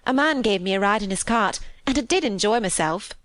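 A woman's voice: medium pitch, expressive, brisk, fairly bright tone, dry recording, close to an everyday voice. A woman voicing a cheerful young Yorkshire housemaid who chatters eagerly in folksy dialect for a storybook. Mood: delighted, cheerful.

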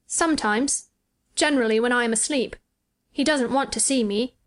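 High-pitched frail young boy, fretful, self-pitying, imperious, storybook character, acted by a woman. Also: normal speed, natural voice. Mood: bitter self-pity.

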